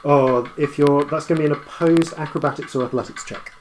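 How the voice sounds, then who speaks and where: A man running a tabletop roleplaying game, improvising his narration in a halting way as game master. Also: fairly high pitch, steady tone, background noise.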